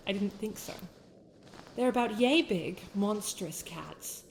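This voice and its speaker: A woman's voice: medium pitch, lively intonation, slow pace, vocal fry, slight background noise, low-quality recording. Woman voicing a haughty British winter princess over ambience. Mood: fond, soft. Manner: formal, courtly, theatrical phrasing. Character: haughty sharp-tongued young winter princess with a softer, regretful side. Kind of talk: scripted ASMR roleplay addressed to the listener.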